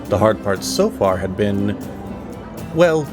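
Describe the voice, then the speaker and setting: Deep guy narrating a fantasy audio drama, measured and theatrical, music underneath. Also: very animated. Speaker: storyteller, narrator.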